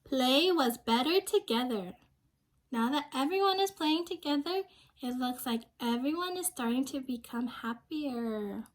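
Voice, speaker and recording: A woman's voice: high voice, lively intonation, measured pace. A young woman reading a storybook aloud to children, speaking simply and expressively and prompting her listeners with questions. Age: young adult.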